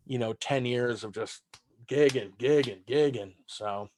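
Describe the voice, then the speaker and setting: A man's voice: mid-range voice, unhurried, darkish tone, a little hiss or hum. Man, thirties, from texas, german first language, loose rambling podcast talk, casual swearing. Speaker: stand-up comedian guest.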